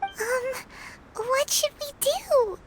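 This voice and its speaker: A tiny floating sprite companion in a video game, chirpy and excitable with a very high, squeaky voice. It bounces all over the scale but drawls slowly. Background noise sits clearly under it. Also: female voice, full character voice. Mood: uneasy.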